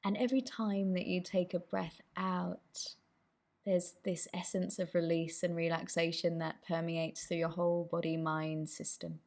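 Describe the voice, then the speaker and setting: A woman leading a guided meditation as the instructor, with gentle, inviting instructions. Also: lowish voice, level tone, a little slow.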